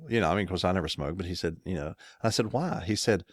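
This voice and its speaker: A man's voice: low voice, quick pace, no room sound, studio quality. A middle-aged American man co-hosting a casual podcast, telling rambling workplace stories with chuckles and self-corrections. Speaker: podcast co-host. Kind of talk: casual chat.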